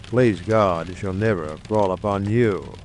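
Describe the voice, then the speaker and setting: A man playing a grave, haunted husband with a dark secret in an audio drama, foreboding in manner. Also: fairly low pitch, measured pace, a little hiss or hum, close to an everyday voice.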